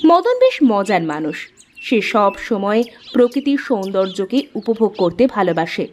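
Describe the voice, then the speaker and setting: A woman's voice: mid-range voice, a little hiss or hum. A woman reading scripted storybook narration for a cartoon tale.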